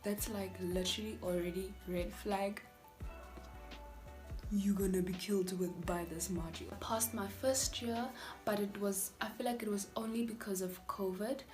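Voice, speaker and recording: A woman's voice: medium pitch, fairly even intonation, moderate pace, music in the background. Chatty South African young woman. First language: Afrikaans. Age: 20s. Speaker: vlogger, university student. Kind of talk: candid personal storytelling vlog.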